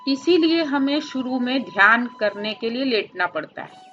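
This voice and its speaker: A woman with a high, bright voice leads a guided meditation. She gives gentle instructions in short phrases with pauses. The recording is somewhat dull and lo-fi. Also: expressive, faint music behind. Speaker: meditation teacher.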